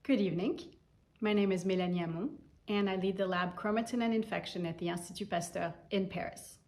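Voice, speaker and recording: A woman's voice: medium pitch, steady tone, brisk, a little mellow. A woman, a lab head, giving a scripted scientific narration about her research.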